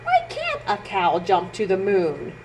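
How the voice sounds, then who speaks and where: A woman voicing a determined, hopeful female cow in a picture book, earnest and expressive. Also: mid-range voice, a little slow, slight background noise, pitched below the performer's own voice. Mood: bold, questioning.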